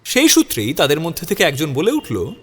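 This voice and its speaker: A man's voice: on the high side, huge pitch swings, fairly quick, a bit bright, husky, big-room sound. A man narrating a scripted audio story in the first person, dramatising it as he goes.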